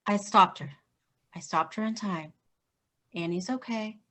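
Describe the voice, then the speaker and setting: Woman playing a capable mid-pitched grandmother. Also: measured pace, fairly bright tone, close to an everyday voice. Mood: reassuring. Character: feisty, capable grandmother vigilante. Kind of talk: staged play reading.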